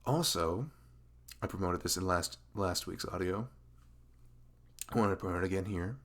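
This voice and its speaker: A man's voice: sits low, moderate pace, fairly bright tone. A man with a velvety voice making a casual, earnest, rambling announcement as an audio creator.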